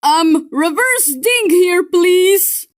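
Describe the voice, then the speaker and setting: A woman with a high voice delivers reaction commentary at a slow, drawn-out pace. She teases and gets sarcastic, then softens into apologetic asides. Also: expressive. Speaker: video commentator. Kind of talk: reaction commentary on an anime review video.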